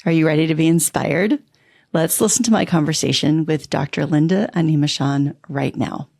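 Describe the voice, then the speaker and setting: A woman's voice: low-pitched, moderate pace, creaky, darkish tone. A woman hosting a podcast, reading a guest introduction and then chatting casually and effusively, with many fillers and laughs. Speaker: podcast host. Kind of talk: casual interview chat.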